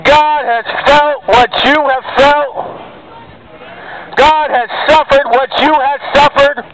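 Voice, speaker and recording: A man giving a declamatory open-air street sermon in short, emphatic phrases broken by long pauses. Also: very high-pitched, fairly even intonation, a bit bright. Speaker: street preacher. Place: outdoors on a street corner by a road. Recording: noisy outdoor street with passing vehicles. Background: street ambience and traffic.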